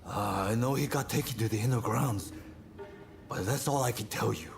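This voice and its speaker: A man's voice: medium pitch, moderate pace, gravelly, soft background music, close to an everyday voice. A man playing a cowardly, defeated character in a video game cutscene, stammering and wheedling as he bargains for mercy. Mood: nervous evasive.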